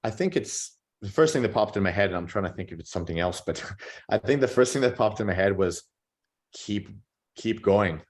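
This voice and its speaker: A man in his thirties answering interview questions in a relaxed, reflective way, often repeating words as he gathers his thoughts. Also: fairly low pitch, a little fast. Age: 30s. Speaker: podcast guest.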